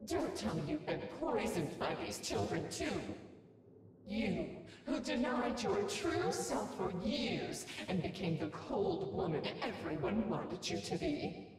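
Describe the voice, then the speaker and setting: A woman's voice: mid-range voice, big pitch swings, slow pace, long pauses, heavy reverb, long reverb tail, far from the mic, a crowd in the background, poor audio. A woman playing a bitter, taunting female shadow being in a dramatic anime-style performance, theatrical and mocking. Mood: cold, mocking. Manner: theatrical, shouting, then cold and mocking.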